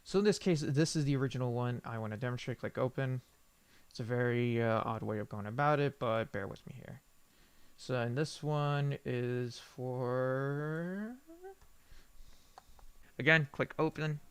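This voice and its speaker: A man's voice: medium pitch, unhurried, pauses often, clear, resonant tone, dry recording. Male tutorial presenter, informal and rambling, self-correcting, unscripted walkthrough style, dry close sound. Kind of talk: unscripted software screen-recording tutorial.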